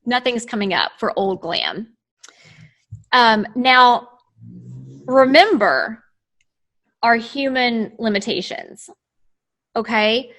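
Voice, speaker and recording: Bright-voiced woman lawyer on a video call. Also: fairly high pitch, slow pace, long pauses. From United States. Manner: chatty, restarts phrases, talks through her screen steps. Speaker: trademark lawyer. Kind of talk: casual explanatory chat over screen share.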